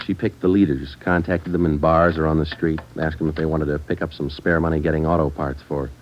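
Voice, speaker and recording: A man's voice: rumbling low, flat delivery, brisk, slight background noise. An actor playing a terse, businesslike police sergeant narrating his case in a mid-century radio crime drama, clipped and matter-of-fact. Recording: dull old radio broadcast with music.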